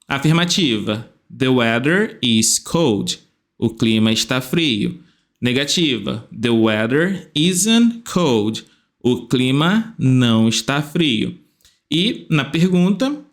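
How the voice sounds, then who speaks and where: Slow, lively man teaching English. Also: medium pitch. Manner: repeats phrases slowly, stretching words, lively rising questions. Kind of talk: slow step-by-step grammar lesson.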